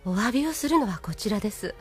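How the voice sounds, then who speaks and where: An actress playing a polite, composed young woman, a colonel's aide, in an audio drama with gently formal delivery. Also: medium pitch, animated, quick pace, faint music behind, close to an everyday voice. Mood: gentle, courteous. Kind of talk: Japanese audio drama acting.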